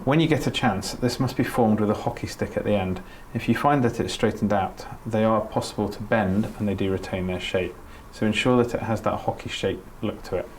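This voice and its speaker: Low-voiced man teaching a practical skill. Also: a little fast, hardly any pauses, a little hiss or hum. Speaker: doctor giving a training demonstration. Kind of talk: spoken practical instruction.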